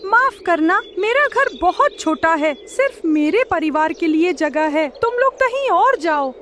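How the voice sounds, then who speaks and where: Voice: extremely high pitch, lively intonation, measured pace, fairly bright tone, heavily acted. A performer voicing a rich, stingy cartoon parrot who is curt and dismissive. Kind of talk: cartoon story character dialogue. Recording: clear background noise.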